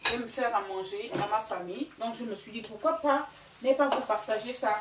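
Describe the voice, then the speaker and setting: Woman cooking at home, chatty, lots of euhs, a bit high, roomy echo and mic far away. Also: brisk, heavy background noise. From Gabon and Equatorial Guinea. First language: Fang or another local language of Equatorial Guinea. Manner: chatty, hesitant, many fillers. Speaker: cooking channel host. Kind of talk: casual cooking vlog talk. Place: home kitchen.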